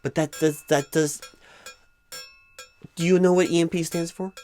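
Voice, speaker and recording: A man acting out a flustered, officious hiring manager in a comedic one-sided audio roleplay, halting and stammering as he speaks. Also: fairly high pitch, little pitch movement, warm tone, soft background music. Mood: exasperated, bossy. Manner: halting, stammering, fussy and bureaucratic. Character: flustered, exasperated, officious male hiring manager, distracted, apologetic.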